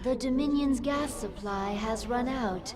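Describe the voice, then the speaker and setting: A woman playing a dutiful female adviser in a video game, giving measured, informative mission announcements. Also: a little high, lightly acted. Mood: dutiful reporting. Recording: loud game music behind.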